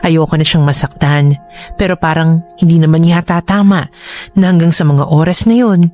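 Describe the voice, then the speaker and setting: A woman's voice: sits low, moderate pace, mellow, faint music behind, lightly acted. A woman acting a regretful, hurting young woman confiding in an earnest radio drama monologue.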